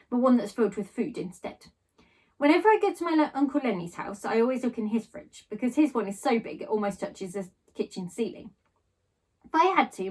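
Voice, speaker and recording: Female storybook reader, higher voice, animated first-person narration, recorded a little distant. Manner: animated read-aloud delivery. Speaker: teacher reading a children's novel aloud.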